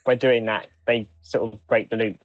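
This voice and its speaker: A man co-hosting a podcast in casual chat, speaking in halting pieces and repeating words, on a dull microphone. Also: medium pitch, level tone, no room sound. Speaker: podcast co-host. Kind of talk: casual chat reviewing audio drama.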